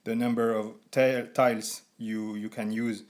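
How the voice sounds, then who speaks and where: A man in his forties with a French accent, haltingly explaining a board game in non-native English as a reviewer. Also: medium pitch, little pitch movement, darkish tone. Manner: halting, deliberately slow speech for learners.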